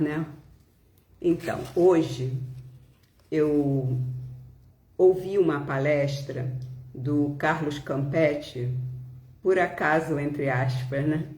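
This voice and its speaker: A Brazilian woman giving a devotional reading and reflection as a spiritist speaker, with measured, deliberate phrasing and pauses. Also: low voice, mellow. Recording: roomy, echoing home recording.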